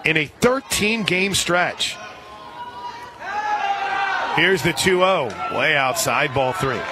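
A man calling softball play-by-play for radio in a conversational, folksy way, with asides between the calls. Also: on the high side, lively intonation, slow pace, loud music behind the voice. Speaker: radio play-by-play sports announcer. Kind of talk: live softball commentary. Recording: crowd murmur behind broadcast voice. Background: outdoor stadium crowd.

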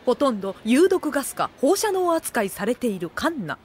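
Woman narrating anime drama, kinda high voice, really lively, music under. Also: normal speed, slightly rough. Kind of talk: scripted comic audio drama narration. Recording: music behind the voice.

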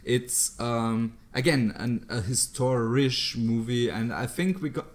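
A man's voice: mid-range voice, slow. A man hosting a podcast in English, talkative and joking, laughing often. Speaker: podcast host. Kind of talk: casual chat.

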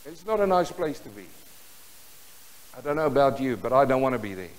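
A man's voice: mid-range voice, a little fast. An older man from South Africa with an Afrikaans accent, preaching a storytelling sermon in a small church hall and talking directly to the congregation. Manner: animated, gesturing widely, talks to the congregation. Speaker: pastor.

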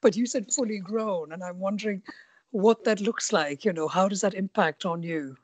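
A woman's voice: medium pitch, normal speed. A woman from India hosting an interview podcast, asking curious follow-up questions and often repeating words as she goes. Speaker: podcast host.

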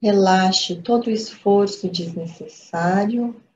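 A woman with a Brazilian accent leading a guided meditation as a teacher, with calm, gentle, measured instruction. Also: medium pitch. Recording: dull lo-fi audio, background noise.

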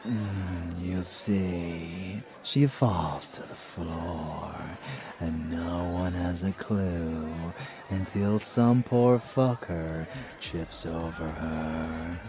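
A man playing a deep, slow, seductive vampire lover. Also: exaggerated voice. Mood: coaching, hungry. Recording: loud club music behind voice. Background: nightclub dance music.

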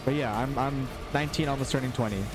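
A man's voice: medium pitch, fast talker. A young man around twenty, chatting casually with his live-stream chat while playing a game, reading messages aloud and reacting to the action. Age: young adult. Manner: chatty, reads chat aloud, swears and yelps at sudden enemies. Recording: game music playing under the voice.

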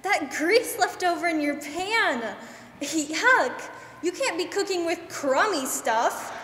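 A sassy, confident radio-ad saleswoman of the 1940s, played by a young woman. Her very high voice echoes around a stage hall. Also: animated, takes it slowly, a bit bright, a little hiss or hum, exaggerated voice. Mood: sassy, assured. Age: young adult. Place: theater stage, standing mic.

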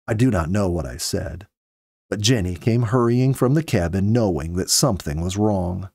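Expressive middle-aged male storyteller, widely varied pitch. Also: moderate pace, breathy edge, no room sound, clean studio sound. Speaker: storyteller reading aloud. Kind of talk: expressive audiobook-style story narration. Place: small home study, indoors. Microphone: close studio mic with pop filter.

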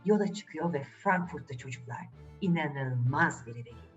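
A woman's voice: low-pitched, animated, moderate pace, recorded from a distance, highs cut off, soft background music. A woman telling a fairy tale to children conversationally, addressing them directly with questions. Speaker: children's storyteller.

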